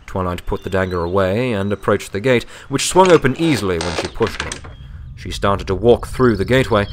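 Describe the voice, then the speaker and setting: A man's voice: low voice, slight background noise. A man reading scripted fiction as a story narrator.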